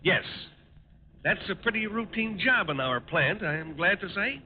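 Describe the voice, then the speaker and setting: An actor playing a smooth, evasive war-plant owner in a 1940s radio drama, polite but guarded and hesitant. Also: male voice, sits high, expressive, normal speed. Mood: guarded politeness. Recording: tinny, noisy 1940s radio recording.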